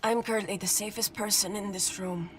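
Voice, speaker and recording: A woman's voice: medium pitch, steady tone, fairly quick, close to an everyday voice. A woman playing a dry, matter-of-fact character in a lab crisis, in naturalistic drama style. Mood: dry, calm. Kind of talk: naturalistic screen drama acting. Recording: clear humming, throbbing noise.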